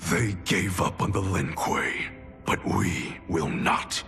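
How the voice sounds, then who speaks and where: Deep, gravelly clan grandmaster. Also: male voice, full character voice. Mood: grim, resolute. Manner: measured, commanding, formal. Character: stern, scheming, aging clan grandmaster, ruthless and proud. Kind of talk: cinematic video game acting. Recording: loud music under the voice.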